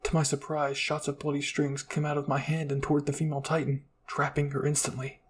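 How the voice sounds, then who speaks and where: A man's voice: on the high side, completely flat delivery, fairly quick, slightly rough. A man narrating scripted fan fiction as a channel host, in a dramatic ASMR roleplay style.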